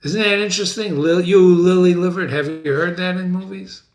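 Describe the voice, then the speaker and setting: A man hosting a play reading, giving informal explanatory asides in an animated, casual way. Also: high-pitched, moderate pace, echoey room. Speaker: host of a dramatic reading series.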